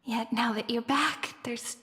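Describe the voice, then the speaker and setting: A woman playing a gentle, wistful young guide through memories in a fantasy game, tender storytelling delivery. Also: on the high side, fairly even intonation, measured pace. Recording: reverberant hall, soft music behind.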